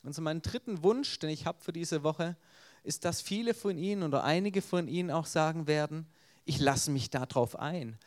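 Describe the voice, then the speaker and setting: Man, Swabian accent, evangelist, earnest storytelling sermon, somewhat raised pitch. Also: normal speed.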